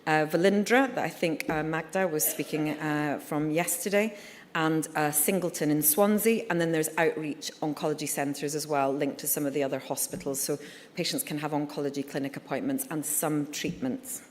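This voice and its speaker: A middle-aged woman with a Scottish accent gives a conference presentation, her voice sitting low. She talks candidly, with plenty of ums and uhs. Her words carry with a lingering reverb and faint background noise. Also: steady tone, normal speed. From Scotland. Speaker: liver surgeon giving a conference talk. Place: conference hall. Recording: reverberant hall.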